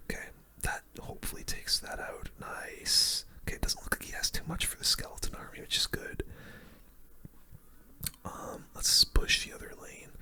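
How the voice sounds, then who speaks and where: A game streamer whispering casual, slangy ASMR commentary in a breathy, unvoiced voice close to the microphone. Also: extremely high pitch, bright, forceful tone. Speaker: gaming video host.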